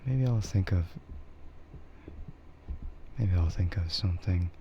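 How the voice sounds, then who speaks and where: Male ASMR streamer, low and unhurried, soft and half-whispered, muffled recording with clear hiss. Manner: soft-spoken, often slipping into whispers. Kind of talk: ASMR livestream chat.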